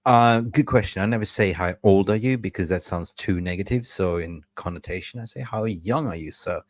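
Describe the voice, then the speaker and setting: A man in his fifties from Sweden, co-hosting a casual chat, playful and quick to laugh. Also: low voice, no room sound. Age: 50s. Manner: playful, laughs often, slips into jokey impressions. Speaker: co-host of a football fan show.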